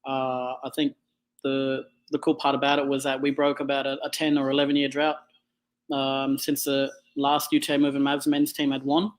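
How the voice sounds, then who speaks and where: Australian man with flat, level pitch. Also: moderate pace, rough recording. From Darwin, Australia. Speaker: college wheelchair basketball player, guest. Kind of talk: casual interview talk.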